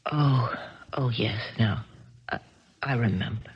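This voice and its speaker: An actress playing a distraught young tennis star in a 1970s radio drama, dramatic and wounded. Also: female voice, rumbling low, slow pace, breathy edge, heavily acted. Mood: weak, dazed. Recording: dull 1970s radio broadcast recording.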